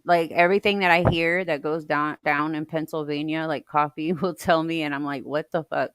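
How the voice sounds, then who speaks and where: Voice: mid-range voice, fairly even intonation, normal speed, a bit bright, smooth, no room sound. A podcast host chatting casually, reacting with disbelief and laughing often. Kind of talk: casual online panel chat.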